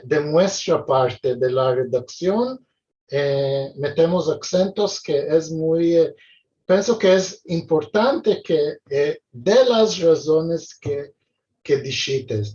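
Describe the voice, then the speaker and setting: A male host speaks very slowly and hesitantly, filling his pauses with "eh". He sounds distant from the mic. The video call audio is dull and lo-fi. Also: on the high side, mellow. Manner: hesitant, many fillers. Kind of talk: hosting an online talk.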